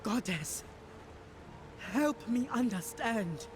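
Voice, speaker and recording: A woman's voice: fairly high pitch, lively intonation, drawn-out words. A woman playing a proud, commanding sea priestess in a video game, solemn and imperious in her delivery. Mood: shaken. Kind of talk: video game cutscene acting. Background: music.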